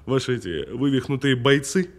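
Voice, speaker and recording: An actor playing a cool, sardonic detainee who needles a policeman with deliberate, mocking delivery in an audio drama. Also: mid-range voice, lightly acted. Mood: calm, sardonic. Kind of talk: naturalistic audio film drama acting.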